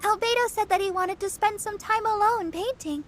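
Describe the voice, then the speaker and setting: A woman's voice: extremely high pitch, moderate pace, slight background noise. A woman voicing a polite, inquisitive young girl with a thoughtful manner in an anime-style video game. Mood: curious, earnest. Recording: studio voice under game music.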